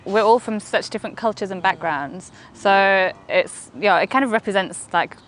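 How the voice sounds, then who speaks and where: A woman's voice: mid-range voice, normal speed, a bit bright. Woman musician, friendly and chatty, some faint noise behind. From United Kingdom. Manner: friendly, laughs easily. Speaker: woodwind player, interviewee. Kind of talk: casual interview chat.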